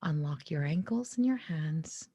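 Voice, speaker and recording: Woman, meditation guide, soft and breathy, near whisper, dark relaxed tone, gentle, unhurried, short phrases with pauses. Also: sits low, no echo, studio quality. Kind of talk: guided meditation, soothing spoken instruction.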